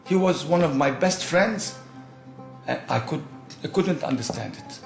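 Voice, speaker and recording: An older man from Egypt speaks reflectively in a middle-pitched voice. His words ring in an echoey room over soft guitar music. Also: animated, a little slow, gravelly. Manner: reflective, emphatic. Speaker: interviewee. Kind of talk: documentary interview testimony.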